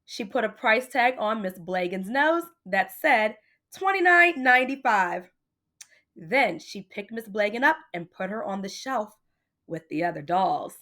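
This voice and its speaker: A woman reading a picture book aloud like a teacher, in animated storytelling style, speaking directly to young listeners. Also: medium pitch, measured pace. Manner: addresses child listeners directly, with questions.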